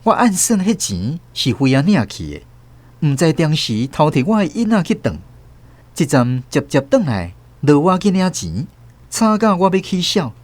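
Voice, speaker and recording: A man's voice: fairly high pitch, big pitch swings, normal speed, dry recording. An actor with a Taiwanese accent playing a reflective man narrating his family's past in a scripted radio story. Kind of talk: literary scripted first-person story narration. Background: music under the voice.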